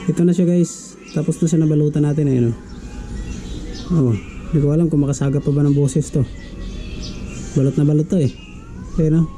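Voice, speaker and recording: A man's voice: fairly high pitch, steady tone, a little fast, pauses often, over music, low-quality recording. A man talking casually as a vlogger walking viewers through a do-it-yourself tutorial. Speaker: vlogger giving a DIY tutorial.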